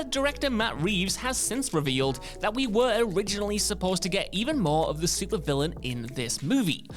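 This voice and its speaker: A man's voice: high voice, a bit bright. A man presenting a scripted countdown video in a conversational, lightly wry way. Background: background music.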